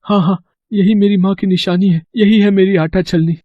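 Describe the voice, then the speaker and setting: A man voicing an honest, humble young village brother in a storybook tale, earnest and gentle, on a dull recording. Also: sits high, steady tone, normal speed, dry recording. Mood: relieved. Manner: earnest, gentle, simple-hearted.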